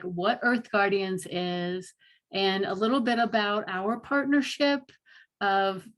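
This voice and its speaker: A woman hosting an online panel, chatty and upbeat, with frequent laughs and filler words. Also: a little high. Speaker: host.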